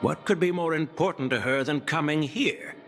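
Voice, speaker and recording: A man playing a sardonic old general in a video game, speaking in a deliberate, theatrical way over music. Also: fairly high pitch, fairly bright tone. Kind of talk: scripted video game voice acting.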